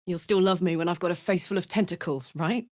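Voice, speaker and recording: A woman playing a brash, warm-hearted, tough tiefling warrior in a video game, speaking in a blunt, slangy, heartfelt way. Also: on the low side, fairly even intonation, fast talker, no room sound, studio quality, natural voice. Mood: sincere, easygoing. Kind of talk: video game character voice acting.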